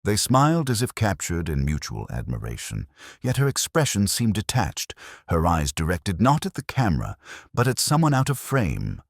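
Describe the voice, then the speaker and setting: A man playing a melancholy, reflective police inspector, narrating his case in a measured, literary way like an audio drama. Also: low-pitched, wildly swooping pitch, vocal fry, no room sound, studio quality, voice pushed up, exaggerated voice. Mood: brooding, reflective. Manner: measured, literary, brooding delivery. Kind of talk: scripted first-person detective audio drama narration.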